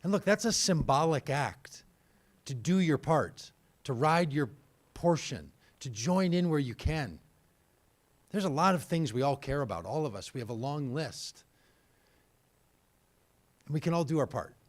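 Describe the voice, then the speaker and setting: A man's voice: a little high, measured pace, long pauses. A man hosting a live event, talking casually and quizzing the audience. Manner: energetic, conversational, quizzing the audience. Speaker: host. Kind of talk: live event talk with trivia. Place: indoor event venue.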